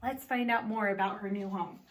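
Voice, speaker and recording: A woman reading a picture book aloud to children in a deliberate storytelling style, pausing to put questions to her young listeners. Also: medium pitch, steady tone, rough recording.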